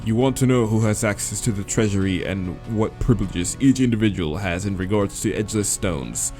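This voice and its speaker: A man's voice: lowish voice, warm tone, faint music behind, close to an everyday voice. A man voicing an imposing, demonic owl man in a visual novel, pompous and formal in his speech. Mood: businesslike, imperious. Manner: pompous, formal, measured wording.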